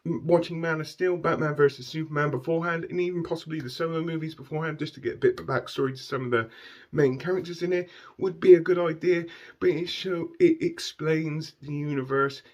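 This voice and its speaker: Croaky, enthusiastic man reviewing a film. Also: fairly high pitch, steady tone, fairly quick, darkish tone. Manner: enthusiastic, repeats words, keeps adding "okay?". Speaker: movie reviewer. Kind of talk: casual spoken movie review. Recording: dull lo-fi recording.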